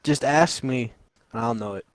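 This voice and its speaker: A man's voice: mid-range voice, moderate pace, dry recording. A seventeen-year-old guy chatting casually about a horror movie, brash and rambling, swearing freely. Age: teens. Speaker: co-host. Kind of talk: casual movie review chat.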